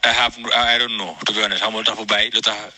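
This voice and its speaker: A man's voice: lowish voice, little pitch movement, quick pace, pushed and bright. A man with a Gambian accent in a casual discussion, reflective and questioning, switching between two languages. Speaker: panel discussion participant. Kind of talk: casual discussion, mixing English and Wolof.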